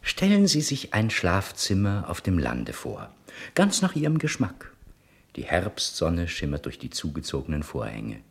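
Deep, very raspy male radio narrator. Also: huge pitch swings. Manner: measured, storytelling. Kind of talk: scripted, theatrical 1960s radio narration.

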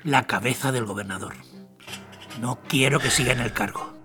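A man's voice: medium pitch, normal speed, roomy sound, over music, lightly acted. A man acting a concerned, kindly husband and family man in a Spanish radio drama. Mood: determined.

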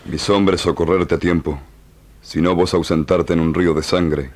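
Male actor as fierce but just Indian chief, very deep voice, solemn, broken Spanish, radio drama. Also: level tone, normal speed, natural voice. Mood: grave. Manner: solemn, speaking broken Spanish in gerunds and infinitives. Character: proud, ferocious yet just Indian chief of the Pampas. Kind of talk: old radio drama acting.

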